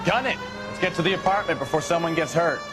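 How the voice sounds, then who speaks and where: An actor playing a blunt, hard-boiled 1940s police detective in a crime video game. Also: male voice, on the high side, quick pace, heavy background noise, close to an everyday voice. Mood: urgent. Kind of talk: video game cutscene acting, crime noir. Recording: music and sirens behind.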